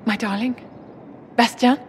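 A woman's voice: a little high, fairly even intonation, measured pace, slight background noise, close to an everyday voice. An actress playing an elegant, commanding noblewoman in a video game, speaking formally with refined composure and endearments. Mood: tender. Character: poised, elegant noblewoman enchantress, affectionate yet commanding. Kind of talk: dramatic video game acting.

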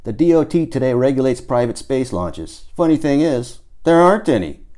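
A man's voice: mid-range voice, lively intonation, normal speed, dark tone, voice pushed up, close to an everyday voice. A man voicing a sarcastic, grumbling, chain-smoking rocket hobbyist in an audiobook. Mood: sarcastic outburst. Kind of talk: audiobook character voice.

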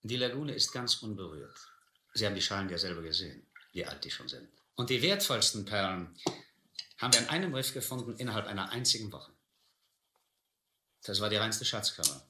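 Shady old-radio sea captain, guarded n blustery, hard bright voice, echoey room. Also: male voice, fairly low pitch, sing-song, brisk, heavily acted. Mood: smooth, guarded. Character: guarded rogue sea captain posing as island master. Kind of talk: radio drama acting. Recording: roomy old radio-play recording.

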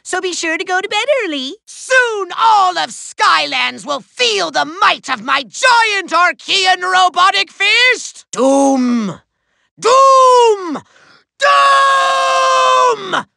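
Super high screechy nasal raspy evil overlord robot for a video game, pompous n gloating, hot-tempered, swoops all over. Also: male voice, lively intonation, slow pace, hardly any pauses, pushed and bright, dry recording, studio quality, heavily acted. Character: short-tempered megalomaniac male villain in giant robot body. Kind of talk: theatrical cartoon villain acting.

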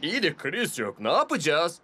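Anxious cartoon work truck, fairly high, urgent, long reverb. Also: male voice, huge pitch swings, normal speed, pushed and bright, a little hiss or hum, exaggerated voice. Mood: worried. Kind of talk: dubbed children's cartoon acting.

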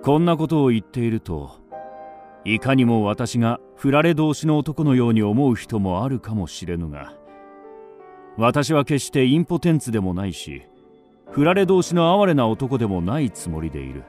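An actor narrating a radio drama as a wry, self-assured writer, deliberate and dryly ironic. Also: male voice, medium pitch, big pitch swings, darkish tone, soft background music, close to an everyday voice. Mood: opinionated, wry.

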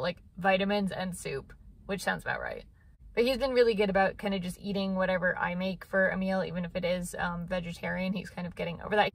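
A woman's voice: mid-range voice, no echo. A woman in her thirties chatting casually to a phone camera from her parked car, rambling with lots of fillers. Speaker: vlogger. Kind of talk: casual vlog chat. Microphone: phone camera at arm's length.

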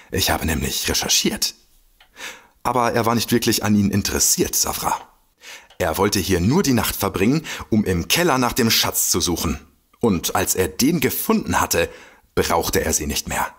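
A husky, bumbling would-be detective. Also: male voice, on the low side, sing-song, normal speed, a bit bright, heavily acted. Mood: smug. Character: bumbling, smug would-be detective, easily indignant man. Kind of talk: comedic audio drama acting.

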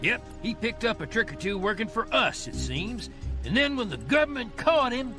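An actor playing a folksy, breezy veteran agency handler in a video game, colloquial and blustery. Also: male voice, very high voice, very animated, moderate pace, music in the background. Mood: breezy, scheming. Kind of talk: video game cutscene acting.

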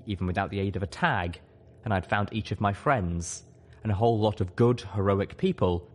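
A man reading fiction aloud as an audiobook narrator, in a dramatic scripted style. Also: fairly low pitch.

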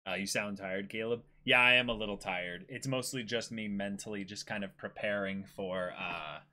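A man's voice: lowish voice, steady tone, moderate pace, bright, forceful tone. A young man chatting casually as co-host of a livestream and reading out viewer comments. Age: young adult. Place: small indoor room, seated.

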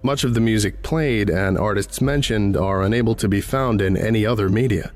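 A man with a fairly low voice narrates from a script. His delivery is formal and measured, with the clinical tone of a documentary report. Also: soft background music.

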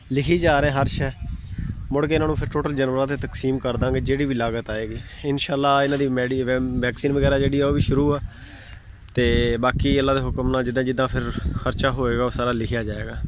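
A man with a Pakistani Punjabi accent giving a casual walking tour of his cattle farm outdoors, talking plainly and sprinkling in religious phrases. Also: medium pitch. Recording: outdoor phone recording, background noise.

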